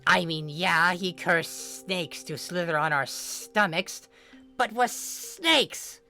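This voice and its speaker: A woman voicing a large, laid-back female snake in a story, soft and casually wry as she explains things. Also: lowish voice, animated, slow, soft background music, exaggerated voice. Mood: wry, matter-of-fact.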